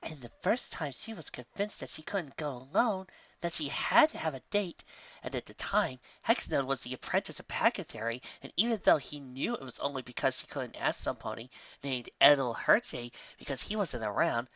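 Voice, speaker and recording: Teasing female pony for storybook, gossipy and needling, animated, bright tone, man performing. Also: fairly high pitch, normal speed, no echo, muffled recording. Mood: sly teasing. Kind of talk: audiobook character acting.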